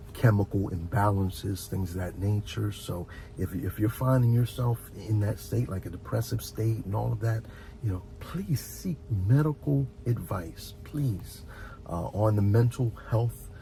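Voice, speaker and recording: A middle-aged man talks through heartfelt advice in a mellow, fry-edged voice. He is reflective and earnest, often stopping to repeat a word before carrying on. A faint low rumble of noise sits behind him. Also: low-quality recording. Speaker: host of a relaxation and advice channel. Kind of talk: informal heartfelt advice monologue.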